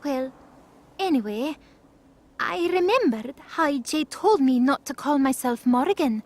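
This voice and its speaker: A woman playing a gentle, earnest young goddess in an audio drama, speaking in halting, simple phrases. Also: sits high, animated. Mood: soft, reflective. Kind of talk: acted audio drama dialogue.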